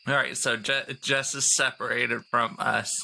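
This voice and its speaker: A man's voice: medium pitch, flat delivery, measured pace, vocal fry, pushed and bright, low-quality recording. A man chatting casually as a player in a tabletop roleplaying game, slipping into in-character asides.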